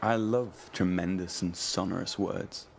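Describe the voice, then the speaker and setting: Eager, wordy low-voiced man reminiscing in drama. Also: steady tone, unhurried, vocal fry, a little hiss or hum. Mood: nostalgic, animated. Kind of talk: literary radio drama acting.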